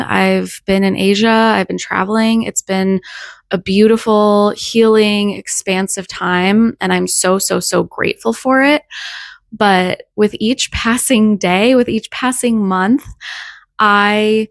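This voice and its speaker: A woman's voice: mid-range voice, creaky, dry recording, studio quality. Scratchy-voiced woman with a cold. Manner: earnest, reflective, with soft laughs. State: hoarse from a cold. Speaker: faith vlogger. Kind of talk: casual devotional talk to camera.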